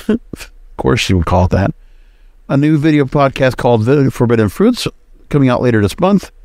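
A man's voice: medium pitch, a little mellow, no room sound. A man hosting a solo podcast, giving news commentary in a conversational, loosely off-the-cuff way into a close microphone. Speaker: podcast host.

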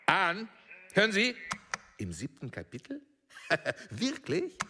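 An actor in a radio drama playing a pompous, impatient, blustering veteran film producer. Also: male voice, high-pitched, wildly swooping pitch, slow pace, in a large hall, noisy background, heavily acted. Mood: curious, amused. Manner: pompous, hesitant filler sounds, switches languages, barks orders.

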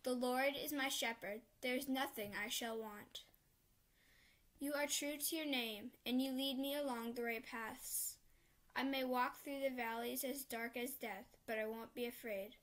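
A woman, serving as lector, reading the responsorial psalm in a calm, measured way with a repeated refrain. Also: fairly high pitch.

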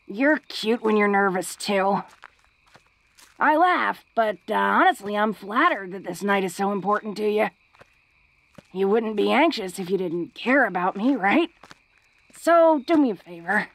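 A woman's voice: medium pitch, lively intonation, unhurried, long pauses, low-quality recording. A woman playing a tough, flirty, secretly awkward female orc in an intimate romantic audio roleplay, speaking in a hesitant, folksy, teasing way. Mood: tender, teasing.

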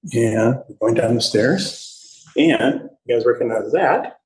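A man in his fifties hosts a casual walk-through with keen, halting delivery. His voice is mid-pitched and very husky, picked up indoors on a handheld phone during a video call. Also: animated, darkish tone, poor audio. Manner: enthusiastic, halting while walking and talking. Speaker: podcast host giving a studio tour. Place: indoors, walking through studio offices and hallways. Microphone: phone held at arm's length on video call.

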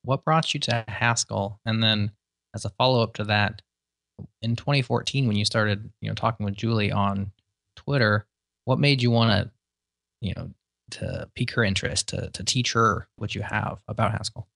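A male interviewer speaks conversationally in a low voice with a lot of creak. The sound is close and dry, as on a podcast. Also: normal speed, fairly bright tone, no echo. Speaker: podcast host.

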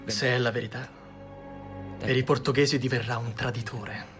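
An actor playing a young male king who weighs advice coolly, asking deliberate questions in a dubbed docudrama. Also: medium pitch, steady tone, close to an everyday voice. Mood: calculating. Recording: music under the voice.

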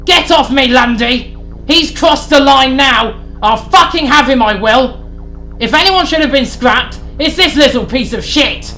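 A woman's voice: on the high side, animated, a little slow, fairly bright tone, faint music behind, low-quality recording. A woman voicing a furious, vengeful male engine who screams threats. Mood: enraged.

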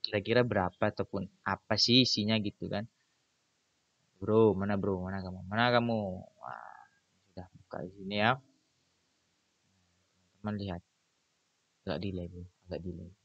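Low-voiced young man, dull recording. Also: normal speed, long pauses, no room sound. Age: young adult. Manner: informal, slangy, repeats phrases. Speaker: YouTuber reviewing channel earnings. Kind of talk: casual chatty screen-recording commentary. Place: small room indoors at a computer. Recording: dull, muffled lo-fi sound.

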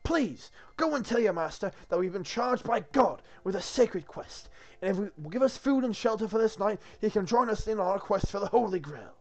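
A man's voice: sits high, lively intonation, moderate pace, pitched above the performer's own voice. A man playing an earnest, regal king who proclaims formally and insistently, in a comic script reading. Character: earnest, regal King Arthur growing exasperated.

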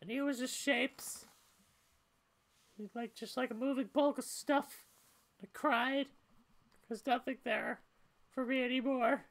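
A woman's voice: sits high, fairly even intonation, measured pace, vocal fry, pauses often. A woman voicing a weary, troubled young female cat in a story video game, talking in a hesitant, conversational way. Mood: troubled, confessional. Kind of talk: amateur voiced playthrough of a story game.

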